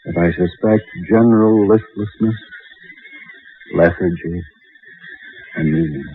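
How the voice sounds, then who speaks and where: A grave, measured doctor explains himself deliberately in a radio play. His voice sits on the low side. The recording is muffled, with faint noise behind it. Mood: somber, explanatory. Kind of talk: radio drama dialogue.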